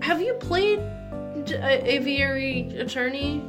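High-voiced woman in giggly casual chat. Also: lively intonation, measured pace, over loud music. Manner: giggly, joking. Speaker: podcast co-host or guest.

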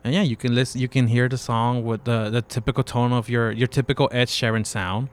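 A man co-hosting a casual podcast, talking in halting stretches full of fillers and restarts. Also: medium pitch, level tone, smooth. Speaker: co-host. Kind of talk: casual chat.

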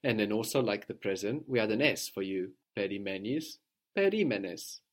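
A man's voice: fairly low pitch, lively intonation, normal speed. A man teaching a language lesson, explaining patiently and prompting his student with questions.

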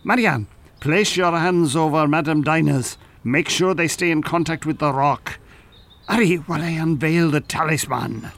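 A grandiose French professor and scheming scientist for a comedy radio play. His voice sits notably high for a man. He speaks with a French accent and theatrical pomp, dropping French phrases as he goes. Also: moderate pace, a bit bright. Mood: grand, excited. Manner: pompous, theatrical, peppers speech with French. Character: pompous, scheming French professor and time-travelling mad scientist. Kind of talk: comedy audio drama acting.

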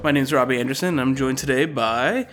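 A man's voice: medium pitch, fairly quick. A man hosting a podcast, chatting casually about a movie with lots of fillers and laughs. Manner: relaxed, laughing, many fillers. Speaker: podcast host. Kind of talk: casual movie review chat.

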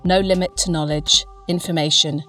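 Woman reading out notes in short clipped bits, lowish voice, bit away from the mic, music behind. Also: steady tone, moderate pace, fairly bright tone. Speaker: reader of an artist's working notes. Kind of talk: scripted reading of fragmentary notes. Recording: slightly distant mic, music underneath.

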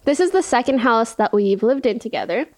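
A young woman with a high voice, chatting casually and giggling as she hosts a guest on her stream. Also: animated, brisk. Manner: bubbly, giggly, talks with "like" a lot. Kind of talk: casual chat while eating on stream.

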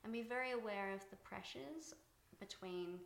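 A soft-spoken woman being interviewed about her directing work, thoughtful and pausing with fillers. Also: medium pitch, gravelly, reverberant. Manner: thoughtful, many fillers and restarts. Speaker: guest, TV director. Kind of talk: reflective interview talk.

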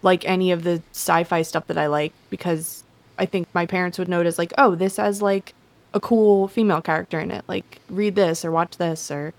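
A young woman, a podcast guest, a little hoarse, chatting casually with many fillers. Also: lowish voice, fairly even intonation, moderate pace, no room sound.

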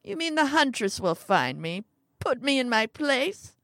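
A woman's voice: high-pitched, sing-song, a bit bright, no echo, pitched above the performer's own voice, heavily acted. A woman doing a bitter, scheming old man. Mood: defiant. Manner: slow, growling and vengeful, rising to rants.

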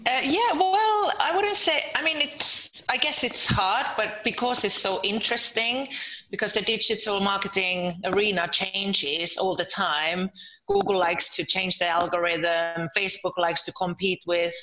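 A woman's voice: mid-range voice, unhurried, pushed and bright, rough recording. A middle-aged woman from Finland, talking conversationally as an interview guest. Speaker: podcast guest, entrepreneur.

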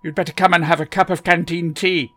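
A man's voice: little pitch movement, brisk. A man voicing an eccentric, fussy old male time traveller who dithers and fusses. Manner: fussy, dithering, high and loud.

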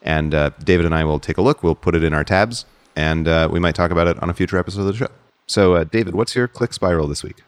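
A man's voice: low voice, quick pace, few pauses, clean tone, no room sound. A man hosting a podcast in casual conversation, often repeating words as he feels his way through a sentence. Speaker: podcast host.

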